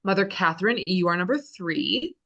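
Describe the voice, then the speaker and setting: A woman's voice: fairly low pitch, animated, moderate pace, fairly bright tone, dull audio. A woman reading the part of a bossy game show host in a comedy table read. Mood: businesslike.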